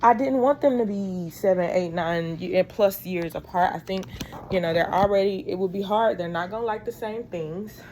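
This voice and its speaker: A woman's voice: mid-range voice, normal speed, a little mellow. A woman in her thirties chatting casually to her vlog viewers in a rambling, confiding way, calling them y'all. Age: 30s. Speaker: vlogger. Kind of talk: casual chat to camera. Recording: faint background noise.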